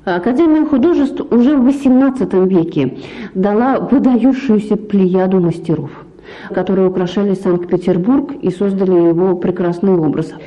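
A woman's voice: medium pitch, normal speed, mellow. A woman speaking as an art history lecturer, in a formal, emphatic way. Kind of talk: interview commentary on art history. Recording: slightly dull radio sound.

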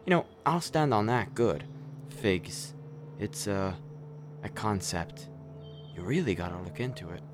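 A man's voice: fairly low pitch, animated, measured pace, pauses often, music in the background. A man playing a nervous, apologetic killer in a darkly comic radio play, stammering and rambling with plenty of swearing. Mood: rambling, musing. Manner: stammering, hesitant, rambling, foul-mouthed.

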